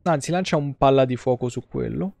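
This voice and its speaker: A man with a mid-pitched voice doing live game commentary. He rambles sarcastically and casually, recorded dry and close in a small room. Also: a little fast, creaky, a little mellow, no echo. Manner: sarcastic, foul-mouthed, rambling. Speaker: video game streamer.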